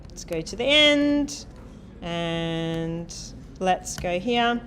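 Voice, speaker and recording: A woman giving a live coding lecture, talking loudly and quizzing the class as she types. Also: fairly low pitch, expressive, extremely slow, a little hiss or hum. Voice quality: loud voice. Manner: chatty, asks the class questions, thinks aloud while typing. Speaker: university programming lecturer. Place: lecture hall.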